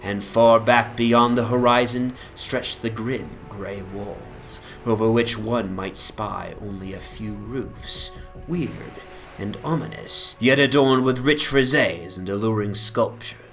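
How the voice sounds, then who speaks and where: Slow, solemn male storyteller. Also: fairly low pitch, fairly bright tone. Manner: measured, solemn, theatrical. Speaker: narrator, reader. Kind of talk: dramatic audiobook reading of literary fantasy. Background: atmospheric music.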